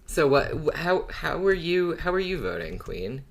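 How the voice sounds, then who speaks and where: Very animated podcast co-host, mid pitch. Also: a little slow. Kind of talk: casual podcast chat.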